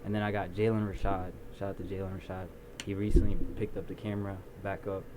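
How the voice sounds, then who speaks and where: A young man being interviewed about his video production company, talking in a relaxed, rambling way with lots of ums. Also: low voice, fast talker. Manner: relaxed storyteller, many ums and restarts. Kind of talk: casual interview. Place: small recording studio. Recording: noisy background.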